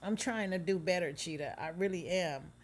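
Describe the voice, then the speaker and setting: A reader voicing a jealous, tearful young woman who pleads and accuses in a high, loud voice. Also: normal speed, lightly acted. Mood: pleading.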